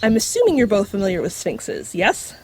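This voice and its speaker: A woman's voice: mid-range voice, very animated, fairly quick, slightly rough. A woman voicing a knowledgeable magical creature in dog form, explaining things matter-of-factly with dry wit in an audio drama. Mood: wry, informative. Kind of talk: scripted audio drama acting.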